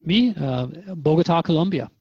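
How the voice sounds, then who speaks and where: A man's voice: fairly high pitch, animated, normal speed, dry recording. Reflective man, dry muffled recording. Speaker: interviewer in a radio drama series. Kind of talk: conversational radio drama dialogue. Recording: dry, slightly dull audio.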